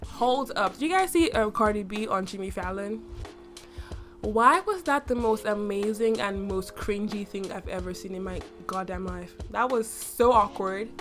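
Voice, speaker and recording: A young adult woman hosting a casual solo podcast, her voice slightly raspy from a cough, chatty and full of fillers with playful boasting. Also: mid-range voice, normal speed, a bit bright, faint music behind. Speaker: podcast host.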